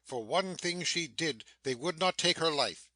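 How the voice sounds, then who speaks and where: Man as stern sorcerer master, sharp forceful tone, dry sound, declamatory, imperious, scolding, Shakespeare audiobook drama. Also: on the high side, lively intonation, measured pace, no echo, heavily acted. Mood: scolding anger.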